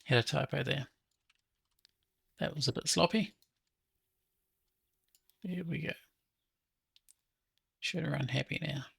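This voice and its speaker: A man with a gravelly, husky voice talking casually to himself as he hosts a think-aloud software tutorial. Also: medium pitch, slow pace, pauses often, dry recording. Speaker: app developer hosting a coding session.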